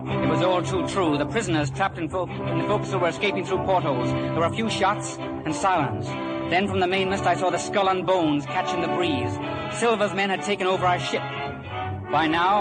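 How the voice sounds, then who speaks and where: Loud music plays behind a man as a young hero telling his own adventure. He narrates earnestly and dramatically, in a fairly high voice at a quick pace. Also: lightly acted, poor audio. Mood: earnest, suspenseful. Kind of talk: scripted first-person story narration.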